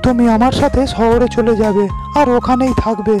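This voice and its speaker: Music plays loudly beneath a kindly rich city businessman voiced by an actor in a cartoon tale. He talks in a courteous, assured way, as a generous benefactor. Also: medium pitch, fairly even intonation, moderate pace, natural voice. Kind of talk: Bengali animated moral story dialogue. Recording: loud music under the voice.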